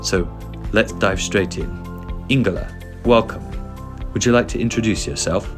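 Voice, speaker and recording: A very deep-voiced man hosts a podcast, delivering a polished scripted introduction before moving into questions. Music plays clearly beneath his voice throughout. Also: moderate pace. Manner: smooth, read-aloud delivery. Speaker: podcast host. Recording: music bed behind the voice.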